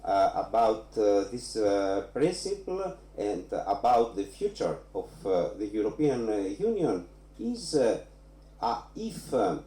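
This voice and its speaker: A man who is not a native English speaker, speaking as an academic panelist very haltingly, almost word by word. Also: on the low side, very animated, dark tone. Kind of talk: academic round-table discussion. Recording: roomy, echoing room.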